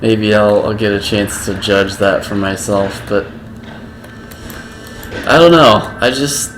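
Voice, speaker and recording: A man giving casual gameplay commentary, slow and halting, with a self-described monotone voice. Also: on the low side, soft background music, low-quality recording. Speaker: gaming video creator.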